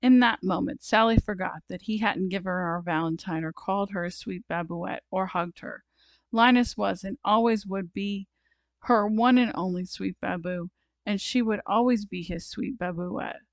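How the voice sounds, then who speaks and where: A woman narrating a children's storybook aloud, expressive and deliberate in her phrasing. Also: medium pitch, dry recording. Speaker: storybook reader.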